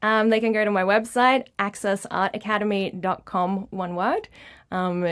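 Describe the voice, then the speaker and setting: A woman's voice: medium pitch, fairly even intonation, normal speed, fairly bright tone, no echo, studio quality. Bubbly woman, Dutch native speaker. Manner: bubbly, laughs often, fillers and restarts. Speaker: art academy director, guest. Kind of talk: radio interview.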